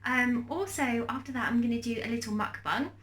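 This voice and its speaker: A woman's voice: a little high, brisk, a bit bright. A young woman with a slight cold, chatting casually in a cooking and eating vlog, rambling with many ums and restarts. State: has a cold, slightly wheezy. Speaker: vlogger.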